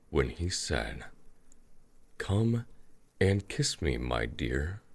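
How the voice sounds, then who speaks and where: A man narrating a fairy tale as a storyteller, in a measured, atmospheric manner. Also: rumbling low, creaky, breathy edge.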